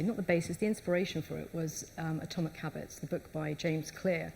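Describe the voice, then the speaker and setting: A woman's voice: low voice, a little mellow, a little hiss or hum. A woman co-presenting a live conference session, explaining and speaking directly to the audience.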